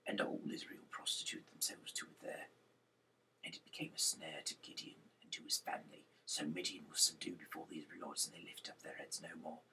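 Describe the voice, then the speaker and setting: Very deep, gravelly, whispery man. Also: bright, forceful tone, distant mic, poor audio. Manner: nonstandard grammar, stumbles over names. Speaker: reader of Bible chapters. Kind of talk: amateur reading aloud of scripture.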